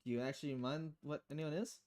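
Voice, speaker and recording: Woman as a low, deadpan female bartender. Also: quick pace, natural voice. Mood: deadpan, curious. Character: dry, reserved, patient female bartender.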